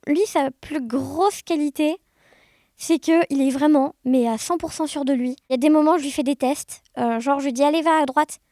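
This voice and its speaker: Young woman, high voice, dry close sound, casual animated storytelling. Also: moderate pace, dry recording. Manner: animated, expressive, uses sound words. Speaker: podcast guest.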